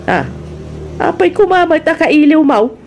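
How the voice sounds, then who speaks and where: Makaunget nga ina, naringgor ti rekording. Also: female voice, sits high, very animated, a little slow, darkish tone, muffled recording, slight background noise, heavily acted. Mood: teasing. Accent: Ilocano. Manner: nagging, sighing, exclamatory. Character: scolding, teasing mother of a lazy grown son. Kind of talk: Ilocano comedy radio drama acting.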